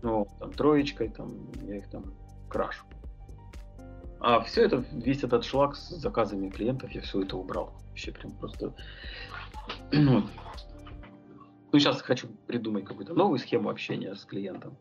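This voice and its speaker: A man's voice: medium pitch, normal speed, creaky, long pauses, highs cut off, soft background music. A man talking as a podcast guest, thinking aloud about his tattoo and painting work, rambling with many fillers and hesitations. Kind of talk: casual podcast conversation.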